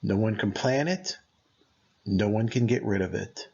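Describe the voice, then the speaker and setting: Male meditation narrator, mid-pitched and creaky, gentle deliberate delivery, slightly dull recorded sound. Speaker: meditation guide.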